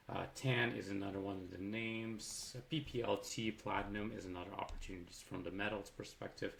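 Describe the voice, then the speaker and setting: A man with a low, gravelly voice talks through his trading charts in a loose, chatty way, dropping fillers and the odd swear word. His voice has gone slightly raspy from talking so long. A faint hum of room noise sits behind him. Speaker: market commentator. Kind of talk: casual market analysis over charts.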